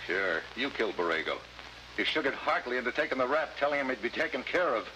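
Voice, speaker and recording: Hard-boiled American agent, bright forceful voice. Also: male voice, mid-range voice, animated, quick pace. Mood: hard, accusing. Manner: hard-boiled, sardonic, slangy. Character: tough, wisecracking male American special agent. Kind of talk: 1950s American adventure drama acting. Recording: faintly noisy vintage 1950s TV soundtrack.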